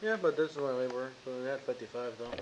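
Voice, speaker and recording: A man's voice: mid-range voice, fast, lo-fi sound, crowd noise. A man chatting casually and bantering as a player in a tabletop game.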